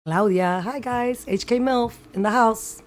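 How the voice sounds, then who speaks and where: A woman's voice: on the high side, unhurried, darkish tone. A woman, a chatty guest who mixes in Spanish words and has a cold. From New York City. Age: 50s. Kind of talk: casual livestream interview.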